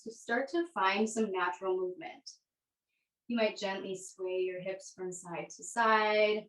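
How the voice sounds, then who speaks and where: A woman teaching a yoga class, giving gentle, unhurried guided instructions. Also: mid-range voice. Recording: echoey room, distant microphone.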